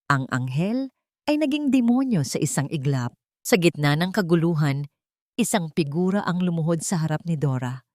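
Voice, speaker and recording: A woman narrating a scripted dramatic story in a measured, theatrical storytelling manner. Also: sits low, lively intonation, a little mellow, no room sound, studio quality.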